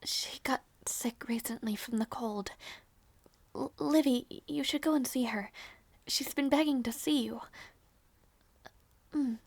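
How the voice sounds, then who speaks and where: Very high-voiced, hesitant young princess, acted by a woman. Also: vocal fry, no room sound, exaggerated voice. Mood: soft, wistful. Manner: soft, hesitant, halting delivery. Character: gentle young princess, anxious sister, breaking into grief. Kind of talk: audio roleplay drama, intimate one-sided dialogue.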